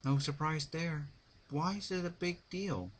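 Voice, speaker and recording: A brash young female cartoon pony, voiced by a man, pitched well up from a natural register. The talk is casual, slangy and exclaiming, over clear background noise in an amateur recording. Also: a little slow, natural voice. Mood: casual, grumbling.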